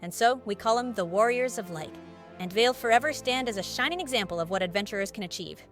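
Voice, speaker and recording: An actress playing a brisk, plain-spoken innkeeper with a British accent and a frank, chatty manner in a video game. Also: female voice, a little high, expressive, faint music behind, natural voice. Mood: frank, rueful. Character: brisk, plain-spoken woman innkeeper running an adventurers' guild. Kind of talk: scripted video game cutscene acting.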